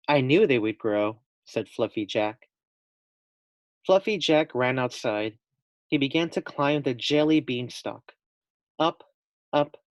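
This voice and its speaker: Slow-paced man reading a storybook aloud. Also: medium pitch, no room sound. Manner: slow, simple phrasing, addresses children directly. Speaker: teacher reading aloud to first graders. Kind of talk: expressive storybook read-aloud with casual asides to young listeners.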